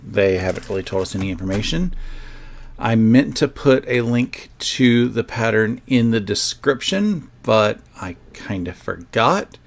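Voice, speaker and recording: A man's voice: lowish voice, animated, measured pace, vocal fry. A man hosting a sewing livestream, chatting casually to his viewers in a rambling, self-joking way.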